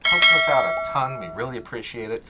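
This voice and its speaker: A man's voice: mid-range voice, expressive, brisk. A man co-hosting a video channel in casual chat, with joking, emphatic remarks. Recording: noisy recording with clicks.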